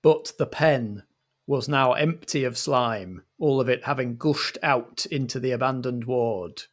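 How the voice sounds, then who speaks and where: A man with a middling pitch narrates and directs an improvised drama. He moves between storytelling and instructions to the cast. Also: a little slow.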